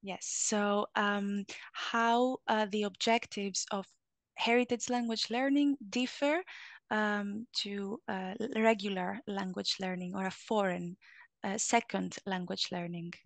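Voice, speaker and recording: A woman's voice: medium pitch, steady tone, slow pace, vocal fry, no echo. A woman moderating an online panel Q&A, reading out audience questions with frequent fillers. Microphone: video call.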